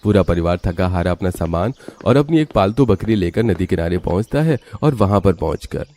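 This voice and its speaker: Bright-voiced male story narrator, dark edges absent. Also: low-pitched, animated, brisk, a little hiss or hum.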